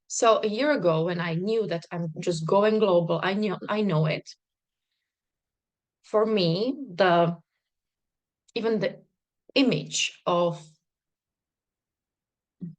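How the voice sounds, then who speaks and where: A woman, a non-native English speaker, talking to a live stream audience as a business coach in a bubbly, emphatic way with frequent laughter. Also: lowish voice. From not Czech, worked in Czech Republic. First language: not English. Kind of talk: live stream talk to viewers.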